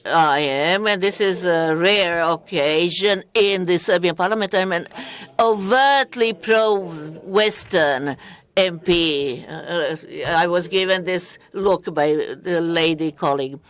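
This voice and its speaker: A woman interpreting a parliamentary speech live, with halting, deliberate phrasing broken into short pieces. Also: lowish voice.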